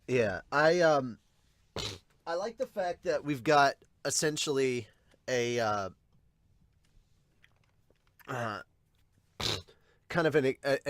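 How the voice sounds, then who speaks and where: A man hosting a casual manga reaction, talking enthusiastically in a halting, digressive way. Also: medium pitch, no room sound. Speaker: podcast host.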